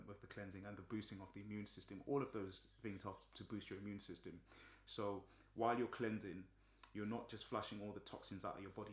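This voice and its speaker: Low-voiced man talking in an echoey room. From Zimbabwe. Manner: relaxed, many ums and restarts. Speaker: vlogger. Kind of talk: casual explanatory talk.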